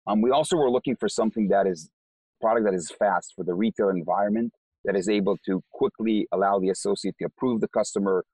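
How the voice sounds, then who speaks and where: A man's voice: lowish voice, fast, a little mellow, slightly rough, dry recording, poor audio. A man with an Israeli accent, a company co-founder, explaining his business in an interview and often repeating words as he starts sentences.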